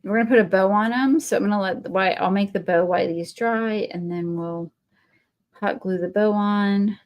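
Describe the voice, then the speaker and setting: A woman's voice: medium pitch, normal speed, dull audio. A woman with a Texan accent hosting a live crafting stream, chatting in a rambling, friendly way with viewers as she works. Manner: chatty and rambling, talks to viewers while working; friendly, answers viewer comments. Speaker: craft livestream host. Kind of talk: casual live crafting chat.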